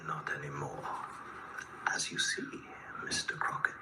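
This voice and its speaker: An actor playing an ancient, courtly and menacing foreign vampire in a horror radio drama, savouring each formal word. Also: male voice, a little slow, far from the mic. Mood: quiet menace. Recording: echoing whisper over music.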